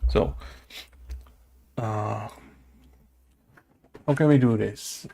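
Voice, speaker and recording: A man talks through his code at a very slow, halting pace, his voice low and heavily gravelly. He hesitates often, mutters, and asks himself questions as he works it out. Keys clack and click behind him. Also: mellow. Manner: hesitant, muttering, many fillers and self-questions. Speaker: game developer coding on stream. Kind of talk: thinking aloud while coding. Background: keyboard typing and clicking.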